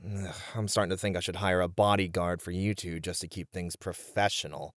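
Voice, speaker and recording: A man acts a band's long-suffering manager in an audio roleplay. His voice is fairly low, with a dry, close sound. He scolds with weary exasperation and keeps things practical and responsible. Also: fairly even intonation, brisk, fairly bright tone, no echo. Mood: exasperated.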